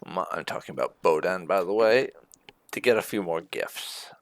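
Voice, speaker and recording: A woman's voice: extremely low pitch, normal speed, no room sound, clean studio sound. A woman giving casual commentary as she plays a video game, often musing aloud to herself. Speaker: gaming video host. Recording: music behind the voice. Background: game music.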